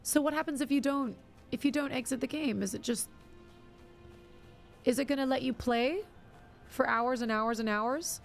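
Chatty streamer woman with a gravelly edge. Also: high-pitched, moderate pace, pauses often, faint music behind. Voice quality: scratchy. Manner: chatty, stammering restarts, reacting aloud. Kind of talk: casual live-stream chat while gaming.

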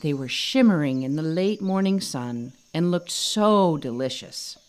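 A woman reading a children's story as narrator, expressive and dramatic. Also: sits low, a little slow. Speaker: author reading own storybook.

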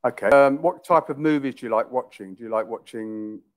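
A man's voice: medium pitch, fairly quick, crowd noise. London man on a headset. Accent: London English. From England. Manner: chatty, repeats and rephrases questions. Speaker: online English tutor. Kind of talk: casual video-call conversation. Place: small room indoors.

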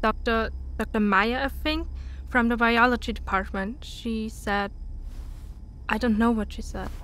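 A woman playing a confused, distraught spaceship survivor in an audio drama, talking haltingly. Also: fairly high pitch, steady tone, fairly bright tone, a little hiss or hum. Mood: halting, uneasy. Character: disoriented, distraught female spaceship crew survivor.

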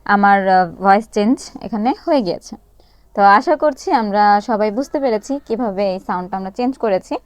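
A woman narrates a casual how-to in an average-pitched voice. She explains one step at a time and pauses as she goes. The sound is dry, with no room echo. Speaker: tutorial presenter. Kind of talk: casual step-by-step phone app tutorial.

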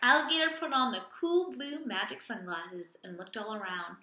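High, bright-voiced woman reading to children. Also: normal speed, roomy sound. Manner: animated, addresses children with simple questions. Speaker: teacher reading aloud to children. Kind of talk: picture-book read-aloud for young children. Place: small indoor room with plain wall.

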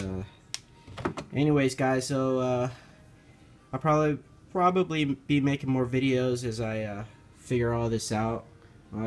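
A man giving a casual, hands-on repair walkthrough in an informal, halting, hesitant way, recorded on a handheld camera. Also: mid-range voice. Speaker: amateur car repair video maker. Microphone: handheld camera held over the engine. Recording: noticeable background noise, amateur handheld camera.

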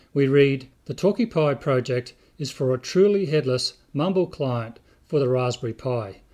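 A man in his fifties presents a scripted explainer. His voice sits at a medium pitch, and he reads as a host talking to his viewers. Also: a little mellow. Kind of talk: scripted narration to camera. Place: indoor room with bookshelves.

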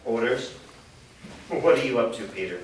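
A man in a staged reading playing a cautious, quietly cunning old father with a probing, placating manner. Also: medium pitch, expressive, moderate pace, lightly acted. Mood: wary probing. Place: indoor performance room, seated before an audience. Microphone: far camera. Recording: distant mic, very echoey noisy room.

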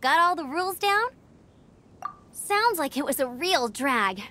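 A woman's voice: high-pitched, sing-song, unhurried, pushed and bright, slight background noise, full character voice. A woman voicing an upbeat, earnest young female scout in a video game, perky and chatty. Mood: wry, confiding.

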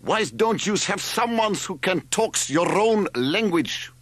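Loud, gruff taxi driver. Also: male voice, very animated, unhurried, no echo. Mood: roaring. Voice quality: rumbling, roaring. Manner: blunt, boastful, mangled grammar. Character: gruff, barrel-bodied Greek taxi driver with broken American-learned English.

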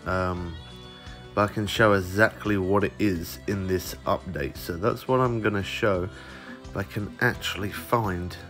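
A man giving a casual walkthrough of a fishing game's update, his voice croaky from lack of sleep, chatting in a rambling, self-correcting way as he searches the menus. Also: fairly low pitch. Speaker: gaming YouTuber. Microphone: phone recording mic.

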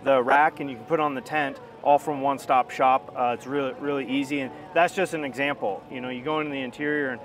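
A man's voice: mid-range voice, steady tone, quick pace, hardly any pauses, fairly bright tone, slight background noise. A man in his thirties, a company representative explaining a product informally in an outdoor interview on a clip-on mic. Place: outdoors at a busy expo ground. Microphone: wireless clip-on mic.

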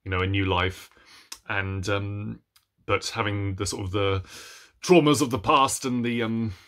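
Young adult male, lowish voice, casual hesitant book-vlog chat, self-deprecating, recorded in a room at home. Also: fairly even intonation, a little slow, fairly bright tone. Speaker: book reviewer vlogger. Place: small room at home, indoors.

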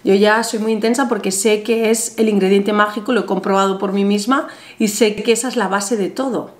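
A woman in her thirties with a Spain Spanish accent, seated at a table, explaining a ritual step by step in a chatty, animated way. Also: mid-range voice, a little fast. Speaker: ritual instructor. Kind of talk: step-by-step ritual tutorial to camera. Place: small bright room indoors, seated at table. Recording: roomy echoey room sound.

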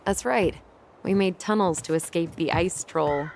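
Young American sister, dry understated teasing, guarded, lowish pitch, video game dialogue, outdoor ambience. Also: female voice, unhurried, a little hiss or hum. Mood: reminiscing. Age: young adult.

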